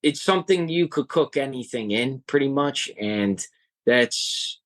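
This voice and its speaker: A young man talks as an interview guest, earnest and a bit halting, often restarting his sentences. His voice sits at an ordinary middle pitch. The sound is dry with no room echo. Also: lively intonation, a bit bright. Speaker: guest, home cook and hiker. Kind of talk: casual interview chat.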